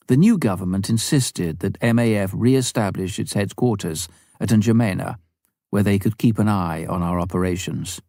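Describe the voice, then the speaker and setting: A man reading a memoir aloud as scripted audiobook narration, in a measured, formal manner. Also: deep voice, warm tone, no echo, studio quality. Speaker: audiobook reader.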